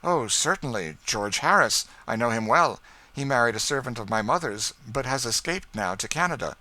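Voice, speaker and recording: A man voicing a courteous young gentleman in an audiobook, frank, polite and informative. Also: medium pitch, animated, normal speed, no echo, voice pushed up, low-quality recording. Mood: surprised. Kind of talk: audiobook character dialogue.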